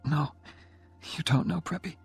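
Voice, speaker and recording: A man's voice: a little high, unhurried, vocal fry, slightly rough, no room sound, soft background music, pitched above the performer's own voice, full character voice. A man voicing a dying young woman in an audiobook, mocking and bossy with tenderness underneath. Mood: teasing. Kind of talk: audiobook character reading.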